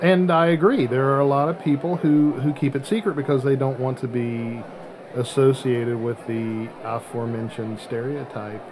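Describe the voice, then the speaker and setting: Male podcast host, mid-range pitch, casual and halting delivery, audible chatter in the background. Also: steady tone. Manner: halting, searching for words.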